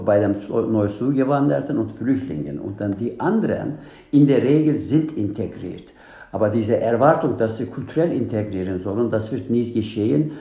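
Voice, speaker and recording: A man speaks earnestly as a panel guest, his German halting and full of restarts. His voice is low, dark and gravelly, heard in an echoey room. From Turkey. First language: Turkish. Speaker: guest, candidate for integration council. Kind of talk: panel discussion.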